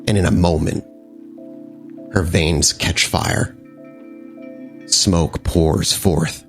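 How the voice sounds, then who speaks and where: Deep-voiced male game master, music behind. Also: fairly even intonation, very slow, creaky. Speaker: game master of a vampire tabletop game podcast. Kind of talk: improvised game narration.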